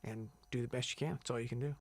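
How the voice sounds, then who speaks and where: A man who sings as a contestant, answering interview questions in a relaxed, rambling way with many fillers and restarts. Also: medium pitch, rapid-fire. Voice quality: big loud singing voice. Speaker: singing contestant.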